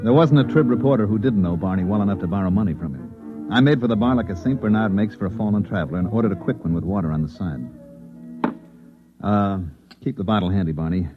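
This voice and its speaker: An actor playing a wry, hard-drinking newspaper reporter with a dry, casual manner in an old-time radio drama. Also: male voice, on the low side, animated, fast, over music, lightly acted. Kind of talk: old-time radio acting with first-person narration. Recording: dull old radio recording.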